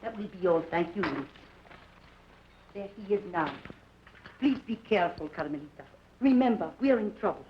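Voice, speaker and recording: A woman's voice: mid-range voice, lively intonation, normal speed, long pauses, slight background noise, natural voice. An actress playing an anxious, proper Mexican mother who speaks in a formal, worried way, in 1940s radio acting. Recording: muffled old radio broadcast.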